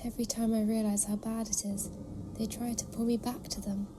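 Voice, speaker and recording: A woman's voice: a little high, flat delivery, brisk, background noise, lightly acted. A woman in an audio drama playing a haunted, devout alien nurse who speaks solemnly and often slips into a whisper. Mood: resigned. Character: haunted alien nursing sister, eerie, devout, enthralled.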